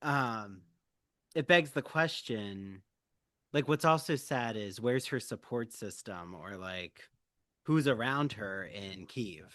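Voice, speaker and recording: A man's voice: lowish voice, lively intonation, slow pace, fairly bright tone, no room sound, clean studio sound. A man hosting a podcast, chatting casually in a sympathetic, conversational way. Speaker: podcast host. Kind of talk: casual discussion.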